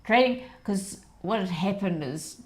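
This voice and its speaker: A woman's voice: medium pitch, a little slow. A middle-aged woman co-hosting a livestream, telling everyday stories in a chatty, easygoing way. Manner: chatty storytelling, frequent laughs and backchannels. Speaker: co-host of a weekly livestream. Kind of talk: casual chat.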